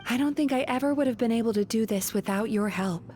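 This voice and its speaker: A woman playing a gentle, earnest young dream therapist in a video game, speaking in a soft, wistful, deliberate way. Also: on the high side, loud music behind the voice, lightly acted. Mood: relieved, grateful.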